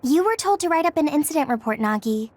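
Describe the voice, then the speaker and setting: A woman's voice: high voice, lively intonation, fast talker, no echo. A woman playing an outspoken, peppy young female soldier in an anime-style video game. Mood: scolding.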